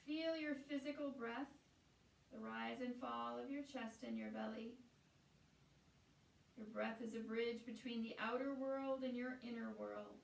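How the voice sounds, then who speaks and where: Woman, meditation teacher, soothing and unhurried, slightly creaky voice, recorded from a distance. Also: fairly high pitch, steady tone. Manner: soothing, unhurried instruction with pauses. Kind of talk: guided meditation. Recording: roomy echo, distant from the mic.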